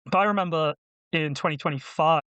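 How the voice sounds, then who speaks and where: A man's voice: a little high, brisk, bright, forceful tone, clean tone, no echo. A man co-hosting a casual film podcast, bantering and teasing his co-host in a jokey way.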